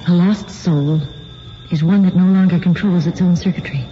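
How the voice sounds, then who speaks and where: An actress playing an intelligent, wry female book editor in a radio drama, speaking in a measured, foreboding, pointed way. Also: fairly low pitch, mellow, faint music behind, natural voice. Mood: grave, ominous. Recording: dull lo-fi radio recording, faint noise.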